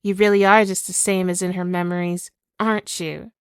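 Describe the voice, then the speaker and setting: A woman's voice: lowish voice, level tone, clean tone, dry recording, studio quality, natural voice. A woman playing a spirit villain possessing a woman's body, obsessive and tormented, in a theatrical, brooding ASMR roleplay monologue. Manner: slow, theatrical, brooding, dwelling on words.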